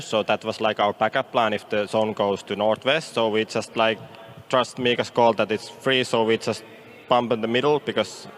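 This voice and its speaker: Finnish young man, halting interview answers. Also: medium pitch, fairly bright tone. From Finland. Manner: halting, leans on "like" fillers. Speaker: pro esports player. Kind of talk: post-match interview.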